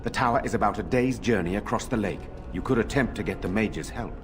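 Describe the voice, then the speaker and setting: A steady noble lord, voiced by a man in a fantasy game, with a lowish voice. He speaks in a formal, deliberate way. Game music is clearly heard behind him. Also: close to an everyday voice. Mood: measured. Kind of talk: video game dialogue.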